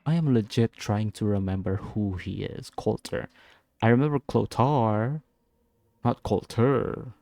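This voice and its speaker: A man's voice: lowish voice, a little slow, vocal fry, dark tone, no room sound. Laid-back man muttering game reactions. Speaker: gaming video maker. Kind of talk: casual game reaction commentary. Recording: game music behind the voice.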